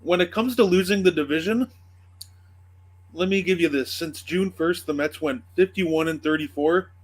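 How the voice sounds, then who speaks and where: A male sports show panelist making a measured case, backing his point with win-loss records. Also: fairly high pitch. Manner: argues with stats, measured. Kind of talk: casual sports debate.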